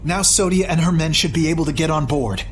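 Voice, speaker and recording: A woman's voice: low voice, quick pace, a little hiss or hum, close to an everyday voice. Woman as a composed, observant young warrior. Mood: cool, thoughtful. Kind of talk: anime game voice acting. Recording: game music behind the voice.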